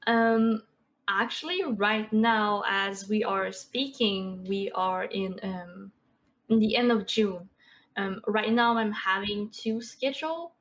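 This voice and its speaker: A woman's voice: medium pitch, slow pace. French-Canadian woman in her twenties chatting. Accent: Québécois French. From Quebec. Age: 20s. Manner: chatty, many fillers and soft laughs. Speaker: podcast guest, streamer and artist. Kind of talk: casual interview chat.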